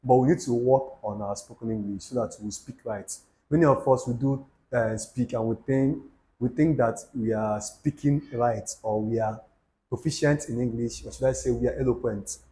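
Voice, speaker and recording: A man's voice: medium pitch, normal speed, mellow, a little hiss or hum, poor audio. A young Nigerian man with an Igbo accent, talking to camera in a preachy, repetitive way and dropping Pidgin asides. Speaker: YouTube channel host. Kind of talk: motivational talk to camera.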